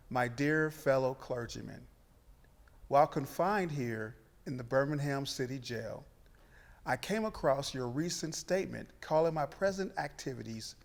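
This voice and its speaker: Gravelly man reading aloud in a reverberant hall. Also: medium pitch. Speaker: church member reading quotes aloud. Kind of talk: scripted reading of a historic letter. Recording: echoing hall with long reverb.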